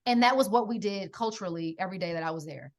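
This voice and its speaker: A woman's voice: mid-range voice, quick pace. A middle-aged American woman guest in a casual conversation, telling stories emphatically with lots of "like" and "right?". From United States. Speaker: podcast guest.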